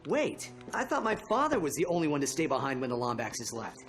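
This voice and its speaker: A man voicing a cocky, eager young alien hero in a video game cutscene, quick with a wisecrack. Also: fairly high pitch, wildly swooping pitch, fairly bright tone. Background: game score music.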